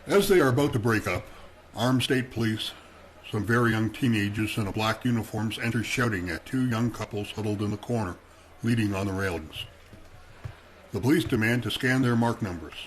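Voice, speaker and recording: Steady low male narrator, hissy home recording. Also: normal speed. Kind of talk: scripted narration.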